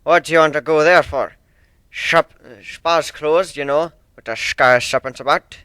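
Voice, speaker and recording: Guy doing gruff old salty sailor pony, stern, deadpan, punchy voice, cartoon story style. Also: on the high side, unhurried, no echo. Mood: gruff, reassuring. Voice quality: stern, slurred S sounds, rolled R's. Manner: deadpan, blunt seafaring talk. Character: grizzled old male sea dog pegasus, gruff, deadpan.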